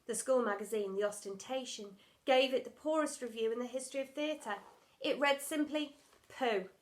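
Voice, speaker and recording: A woman's voice: on the high side, moderate pace. A woman narrating a children's storybook, reading scripted text as a primary school storyteller.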